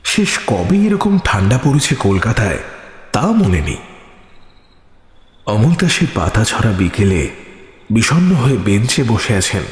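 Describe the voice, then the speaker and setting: A man narrating a scripted horror audio story in a theatrical, suspenseful manner. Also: fairly low pitch. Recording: reverberant hall effect with music behind. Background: music.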